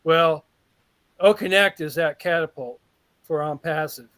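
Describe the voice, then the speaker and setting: A man on a group video call gives a long, eager testimonial, rambling and often circling back mid-sentence. His voice sits slightly high. The sound is dry and close, with no room around it. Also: a little slow, fairly bright tone, no room sound. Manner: rambling, enthusiastic, restarts often. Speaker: call participant.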